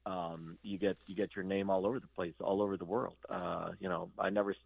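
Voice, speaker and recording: A man guesting on a radio interview, talking about his band in a halting way, full of fillers and restarts. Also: low voice, flat delivery, gravelly, no room sound. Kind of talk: casual interview chat.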